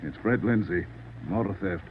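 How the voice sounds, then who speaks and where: A man's voice: sits low, moderate pace. A man playing a sombre, terse crime-lab investigator in 1940s radio style. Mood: grim. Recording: muffled noisy 1940s radio recording.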